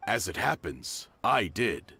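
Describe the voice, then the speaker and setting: A plainspoken townsman in an anime game. His voice is low and rough, helpful and matter-of-fact, with swooping intonation. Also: very animated, slow, sharp tone, full character voice.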